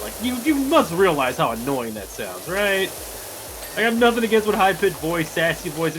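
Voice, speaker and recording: A man's voice: fairly high pitch, noisy background. A man bantering casually as a game streamer, dramatically exasperated and sweary.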